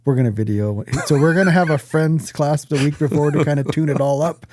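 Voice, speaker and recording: A man's voice: fairly high pitch, fairly quick, a little mellow, slight background noise. An American man co-hosting a podcast in casual chat, with a blunt, freely swearing manner. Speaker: podcast co-host.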